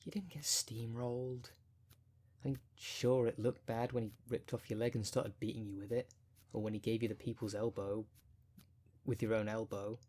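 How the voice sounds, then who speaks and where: Low-voiced wry necromancer coach, played by a man. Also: fairly even intonation, moderate pace, a little mellow, close to an everyday voice. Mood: consoling, encouraging. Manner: chatty, colloquial, wry, consoling. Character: male necromancer coach, wry, foul-mouthed, caring, encouraging his zombie fighter. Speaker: audio roleplay performer. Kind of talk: ASMR roleplay, casual coaching talk.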